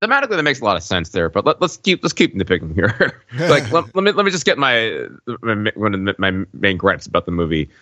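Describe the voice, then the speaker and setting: Animated low-pitched man on a podcast. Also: quick pace, dry recording, muffled recording. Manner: emphatic, nitpicking, laughs while talking. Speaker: podcast panelist. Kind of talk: casual film discussion.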